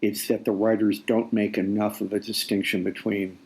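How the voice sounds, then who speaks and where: A man speaking as an author guest in a conversational interview, explaining deliberately and repeating phrases for weight. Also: lowish voice, little pitch movement, a little mellow, poor audio. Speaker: nonfiction author, podcast guest.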